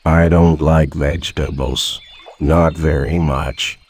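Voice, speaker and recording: A man voicing a gloomy, resigned toy donkey in a children's storybook, glum and halting. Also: extremely low pitch, fairly even intonation, a little mellow, slightly rough, pitched below the performer's own voice, full character voice. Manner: glum, halting, downbeat delivery. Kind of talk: children's storybook read-aloud.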